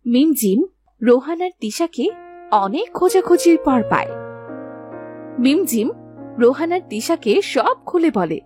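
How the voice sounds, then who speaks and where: A woman's voice: sits high, sing-song, unhurried, pauses often, over music. A woman narrating a scripted children's story.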